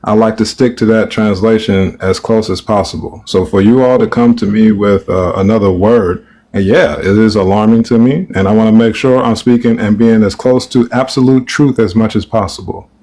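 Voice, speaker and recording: A man's voice: on the low side, steady tone, normal speed, dark tone. A man speaking in an online debate, cautious and circling, repeating phrases as he gathers his thoughts. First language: English. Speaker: debate participant.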